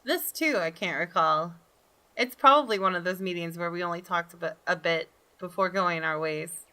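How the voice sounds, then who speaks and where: A woman's voice: lowish voice, normal speed, pushed and bright. A woman reading a visual novel aloud as dramatic first-person narration, now and then stumbling and rereading a phrase.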